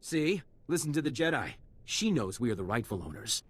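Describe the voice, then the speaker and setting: An actor playing an indignant, entitled settler in a video game, haughty and full of complaint. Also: male voice, medium pitch, sing-song, brisk, husky, dry recording. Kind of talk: scripted video game acting.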